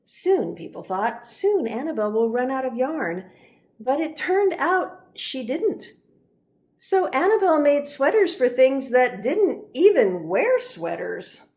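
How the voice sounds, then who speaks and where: A woman narrating a children's picture book, expressive and deliberate, pausing for emphasis. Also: high-pitched. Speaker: reverend reading a picture book.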